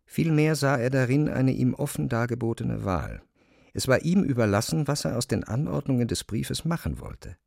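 A man's voice: medium pitch, very animated, normal speed, gravelly, darkish tone, no room sound, clean studio sound. A man reading scripted literary narration as the narrator of a radio play.

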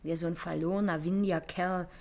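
An actress playing a gossipy young working-class daughter in a stage comedy, chatty and folksy, with a Berlin accent. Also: female voice, on the low side, fairly even intonation, fairly quick, darkish tone, lightly acted. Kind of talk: stage comedy radio play acting.

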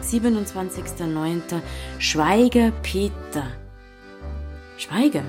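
An actress playing a feisty, curious young village innkeeper with a Bavarian accent, blunt and natural in an audio drama. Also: female voice, fairly low pitch, slow pace, music in the background. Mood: curious, puzzled.

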